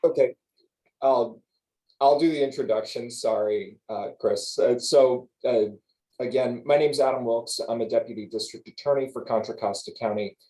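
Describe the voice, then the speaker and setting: A man's voice: mid-range voice, normal speed, warm tone, low-quality recording. A man, a deputy district attorney introducing a presentation over a video call, a little fumbling and apologetic at the start.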